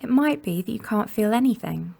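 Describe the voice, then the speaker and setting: Creaky-voiced woman guiding meditation. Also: fairly high pitch, expressive. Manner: gentle, unhurried, soothing instruction with long pauses. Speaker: mindfulness meditation guide. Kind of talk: guided body scan meditation narration.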